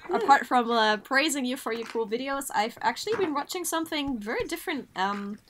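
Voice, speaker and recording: A woman's voice: high-pitched, animated, a little fast, over a noisy crowd. A woman chatting as a guest on a podcast, talking enthusiastically and laughing often. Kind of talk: casual chat.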